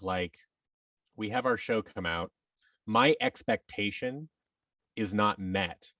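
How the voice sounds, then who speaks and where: Low-pitched man chatting, slightly off-mic. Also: no echo, recorded from a distance. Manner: rambling, emphatic, often acts out hypothetical quotes. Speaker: podcast guest, actor. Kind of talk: casual interview talk giving advice.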